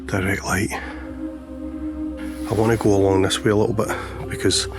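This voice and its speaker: A hoarse man, chatting casually as he vlogs outdoors about landscape photography, with many pauses and fillers. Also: fairly low pitch, little pitch movement. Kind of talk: casual vlog narration. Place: outdoors on a lakeshore, walking. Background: background music.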